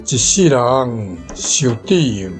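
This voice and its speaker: A man's voice: medium pitch, sing-song, slow. A man with a Taiwanese accent, a language teacher reading out translations. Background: guitar music.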